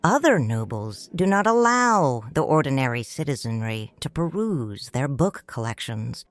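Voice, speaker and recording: A woman narrating a first-person audiobook story in a measured, solemn and expressive way. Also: rumbling low, no echo.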